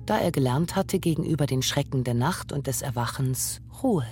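A woman reading scripted literary narration for a radio play in a measured, formal manner. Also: a bass voice, animated, darkish tone. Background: faint music.

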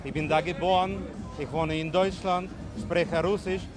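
A man's voice: fairly high pitch, steady tone, normal speed, over music, crowd noise. A man from Uzbekistan interviewed at a party, speaking in a heartfelt, emotional way. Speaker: party guest. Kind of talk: street interview.

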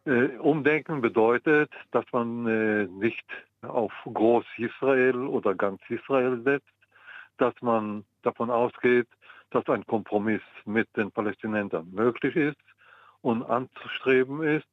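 An older man from the Mandate of Palestine, speaking as a historian in an interview, measured and pausing often with ums. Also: mid-range voice, no echo. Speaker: guest historian. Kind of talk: interview answers. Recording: tinny phone line, dry.

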